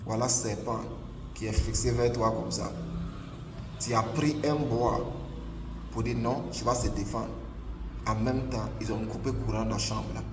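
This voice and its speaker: A man's voice: on the low side, noisy background. A man from Ghana with a Ghanaian accent and English as his first language, preaching an emphatic, repetitive sermon. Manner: emphatic, repetitive, filler "là" everywhere. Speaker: prophet preacher. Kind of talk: online sermon. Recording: echoey hall, distant mic, faint ambience.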